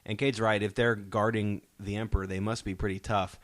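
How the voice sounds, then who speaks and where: A man's voice: fairly low pitch, little pitch movement, brisk, a bit bright, dry recording. A man hosting a podcast in casual pop-culture discussion, explaining his points and posing rhetorical questions. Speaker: podcast host. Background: soundtrack music playing faintly.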